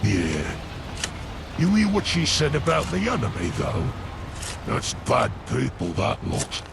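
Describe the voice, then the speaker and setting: A man's voice: mid-range voice, huge pitch swings, takes it slowly, vocal fry, noisy background, exaggerated voice. A man playing a boisterous, simple, battle-happy ogre soldier in a science-fiction audio drama. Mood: disapproving. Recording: loud gunfire and machinery over voice.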